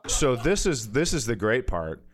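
An American man with a mid-range voice chats as a comedy podcast co-host. He tells loose, profane stories with a teasing edge and is quick to laugh along. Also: medium pitch, sing-song. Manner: crude, profane, teasing banter; laughs readily while telling stories. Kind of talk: casual comedic storytelling.

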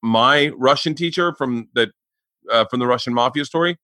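A man's voice: mid-range voice, moderate pace, sharp tone, no echo. A man in his forties hosting a podcast, talking in a loose, rambling way and swearing freely. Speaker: podcast host. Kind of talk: casual podcast chat.

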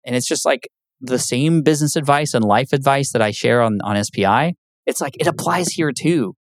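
A man's voice: medium pitch, moderate pace, no room sound. A man talking as a podcast guest in casual conversation, earnest and patient. He explains things with many fillers. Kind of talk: casual interview talk.

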